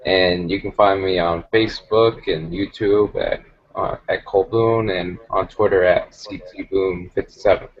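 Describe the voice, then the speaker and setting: A man's voice: sits low, fairly even intonation, normal speed. A man from New Hampshire, a podcast panel guest, speaking steadily over dull online call audio.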